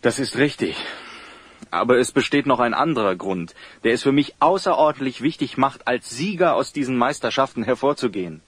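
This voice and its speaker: A flustered young athlete, slightly husky. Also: male voice, mid-range voice, animated, no echo. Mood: despairing, anxious. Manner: halting, nervous, confessional. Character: flustered, honest, lovelorn young village sprinter. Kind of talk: German audio drama acting.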